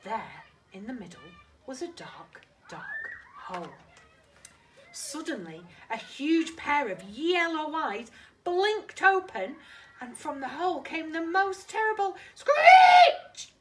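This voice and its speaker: Female storyteller for young children: lively, swooping delivery, dramatic, recorded a little far from the mic with faint noise. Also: sits high, slow pace. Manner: animated, dramatic, addresses young listeners with questions. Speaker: primary school teacher reading to children. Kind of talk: expressive children's storybook reading.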